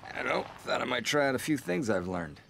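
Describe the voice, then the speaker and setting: A man playing a boastful, snide rival race car in an animated video game, rambling and sneering. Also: mid-range voice, fairly quick, gravelly, a little hiss or hum.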